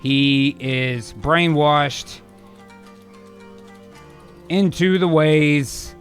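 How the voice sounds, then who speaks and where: A man hosting a casual live stream, brainstorming out loud in a halting way, trailing off into hums as he mulls over words. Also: on the high side, faint music behind. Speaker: host of a live streamed improv show. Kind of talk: casual brainstorming, thinking aloud.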